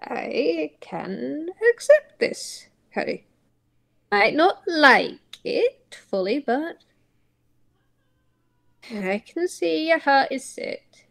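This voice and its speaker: Woman voicing a high, emotional cartoon toon parent. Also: big pitch swings, extremely slow, gravelly, long pauses, a bit bright, exaggerated voice. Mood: tearful, resigned.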